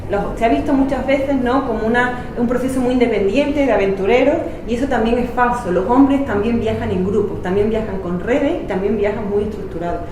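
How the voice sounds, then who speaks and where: A woman's voice: a little high, brisk, few pauses, distant mic. Spanish woman lecturing in an echoey room. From Spain. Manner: explanatory, many fillers and rhetorical tags. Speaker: history lecturer. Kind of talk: academic talk. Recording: echoey room, speaker off-mic, faint noise.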